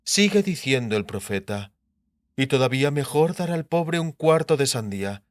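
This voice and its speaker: A man's voice: mid-range voice, animated, normal speed, no room sound, studio quality, natural voice. A man voicing a pious, stern queen in an audiobook, solemnly quoting holy sayings to her husband. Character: pious, bespectacled queen, stern and sententious wife. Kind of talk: dramatised audiobook character acting.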